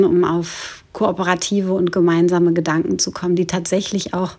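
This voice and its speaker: A woman giving a reflective spoken message in a measured, formal way, in long winding sentences. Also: fairly low pitch. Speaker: guest philosopher sending an audio message.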